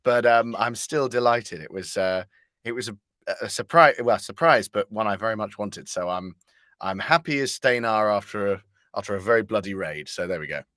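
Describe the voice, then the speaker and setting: A man's voice: lowish voice, moderate pace, a bit bright, no room sound, clean studio sound. A man chatting casually as an interview guest, jovial and rambling, telling stories with a hesitant delivery.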